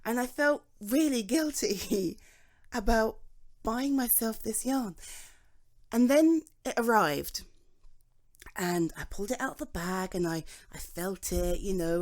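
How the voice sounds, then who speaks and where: A young British woman speaking to a friend in an intimate ASMR roleplay, chatty and reassuring, with frequent soft laughs. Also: medium pitch. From Britain.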